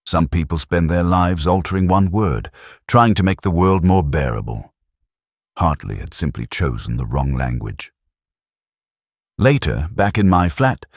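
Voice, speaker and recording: Guy doing a brooding middle aged detective telling his case, super low voice, solemn and measured, lots of rise and fall. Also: dry recording, clean studio sound. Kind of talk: literary first-person scripted narration.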